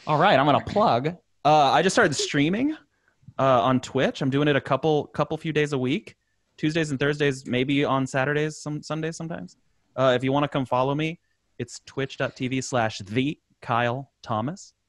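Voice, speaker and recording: A man's voice: medium pitch, normal speed, no room sound, clean studio sound. A man chatting casually and jokingly among fellow podcast voice actors. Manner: joking, playful banter. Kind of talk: casual group chat.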